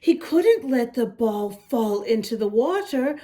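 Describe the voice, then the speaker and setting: A woman's voice: fairly high pitch, big pitch swings, slow, mellow. A woman hosting a children's story time, reading a picture book expressively and chatting to young listeners in a sing-song way. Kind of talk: children's read-aloud with asides to young listeners.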